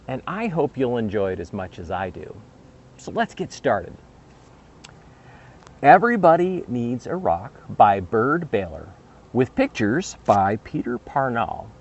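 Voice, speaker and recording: Male storybook reader, very animated intonation, expressive emphasis for young listeners, lo-fi muffled sound. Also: medium pitch, gravelly. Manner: dramatic pauses and emphasis for children. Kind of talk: expressive children's picture-book read-aloud.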